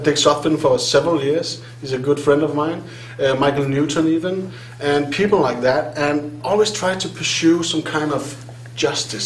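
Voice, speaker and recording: Danish-accented man on a conference panel. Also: a little high. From Denmark. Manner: animated, self-deprecating, laughs often. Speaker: hypnotherapist panelist. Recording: roomy hall sound, faint hum.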